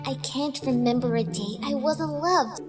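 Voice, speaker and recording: Woman as a gentle pony filly, very high and hesitant, audio drama role with music underneath. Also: measured pace. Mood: wistful. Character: young timid pony filly, devoted daughter narrating her past. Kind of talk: audio drama acting and narration.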